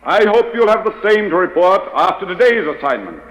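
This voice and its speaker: A man playing a formal, commanding spymaster who gives orders in measured phrases, in a 1940s radio drama. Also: sits high, sing-song, fairly bright tone, low-quality recording. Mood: grave, formal. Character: formal, authoritative male chief of a secret agency.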